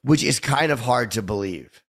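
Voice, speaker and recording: Male co-host: mid-pitched, gravelly, scratchy, blunt and self-mocking. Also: expressive, moderate pace. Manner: self-deprecating, blunt and profane. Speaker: podcast co-host. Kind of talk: casual chat.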